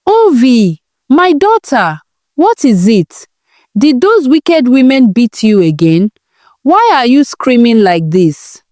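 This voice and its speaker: A woman performs a protective older mother figure in a storybook tale. She is urgent and indignant, with a very lively, swooping delivery recorded dry. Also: fairly high pitch, takes it slowly, no room sound, voice pushed up. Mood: alarmed concern.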